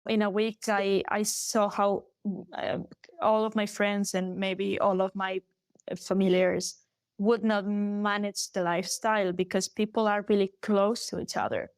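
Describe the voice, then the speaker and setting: A young woman from Galicia with a Spanish accent, a native Galician speaker, chatting as an interview guest, animated and laughing often. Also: medium pitch, a little slow, clean tone, no room sound. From Galicia, Spain. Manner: animated, laughs often, restarts her sentences. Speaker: guest singer. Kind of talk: casual interview chat.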